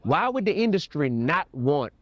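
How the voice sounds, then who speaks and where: A man's voice: a little high, very animated, moderate pace, dry recording, muffled recording. An American man talking in an interview, opinionated and emphatic, repeating words for stress. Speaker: rapper being interviewed. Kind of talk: interview, opinionated conversational talk.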